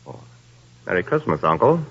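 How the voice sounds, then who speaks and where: An actor playing a cheerful, kindly young nephew who laughs and pleads good-naturedly, in a 1930s radio play. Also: male voice, low-pitched, sing-song, normal speed, creaky, fairly bright tone, highs cut off, slight background noise, exaggerated voice. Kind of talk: 1930s radio drama acting.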